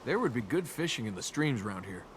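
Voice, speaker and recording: A roguish young tomb robber from a video game, played by a male actor. He talks casually and wryly, guarded and cynical, in a medium-pitched voice. Game ambience runs noticeably behind him. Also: sing-song, fairly quick, a little hiss or hum, close to an everyday voice, poor audio. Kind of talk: naturalistic video game dialogue.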